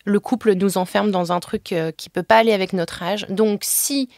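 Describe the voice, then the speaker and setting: A woman in her forties tells her own story openly and frankly, filling her sentences with hesitations and restarts. Her voice sits at an ordinary middle pitch. The sound is dry and close, with no room echo. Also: fairly even intonation, moderate pace, a little mellow. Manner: candid, frank storytelling with many fillers and restarts. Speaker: guest telling her love story. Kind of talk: casual podcast conversation.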